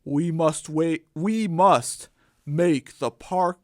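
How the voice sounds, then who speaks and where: Narrator doing an earnest, slow-talking cartoon superhero. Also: mid-range voice, dry recording, studio quality. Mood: earnest, righteous. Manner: solemn, declarative. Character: earnest righteous male food-themed superhero.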